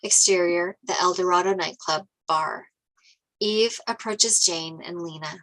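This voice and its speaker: Bright, lower-pitched woman reading stage directions. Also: unhurried, low-quality recording. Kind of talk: scripted narration of screenplay directions.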